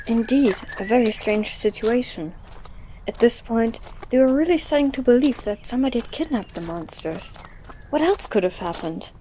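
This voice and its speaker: Mellow woman narrating a dramatic story. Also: a little high, slight background noise.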